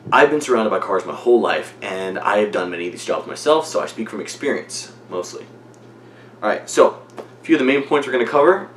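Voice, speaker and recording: Low-voiced young man in an echoey room. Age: young adult. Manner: conversational. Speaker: student giving a speech. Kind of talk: informal persuasive speech. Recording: echoey room, faint background noise.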